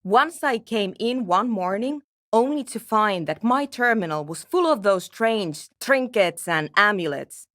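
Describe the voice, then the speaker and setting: A woman's voice: mid-range voice, expressive, measured pace, few pauses, fairly bright tone, dry recording. An actress playing a brusque, nerdy woman programmer in a video game, with a dry, matter-of-fact, sardonic manner. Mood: irritated, sardonic. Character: brusque, nerdy young woman programmer researching a radio anomaly. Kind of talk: video game character dialogue.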